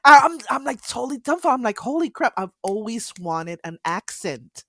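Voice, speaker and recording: Animated woman co-hosting, lively pitch. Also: normal speed, no echo, studio quality. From United States. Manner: animated storyteller, laughs and swears. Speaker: podcast co-host. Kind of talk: casual anecdote telling.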